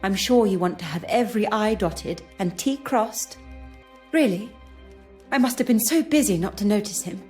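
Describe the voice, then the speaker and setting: A woman's voice: medium pitch, moderate pace, darkish tone, lightly acted. A woman voicing a defensive young journalist in a video game, polite and guarded under questioning. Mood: rattled, defensive. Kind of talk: scripted video game dialogue acting. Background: music.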